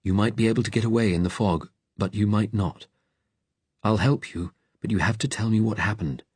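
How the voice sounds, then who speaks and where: Man playing a steady, kindly, brisk vicar. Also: on the low side, husky, dry recording, pitched above the performer's own voice. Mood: calm, concerned. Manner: gentle but brisk, direct. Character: kindly, weary male vicar, calm and firmly commanding.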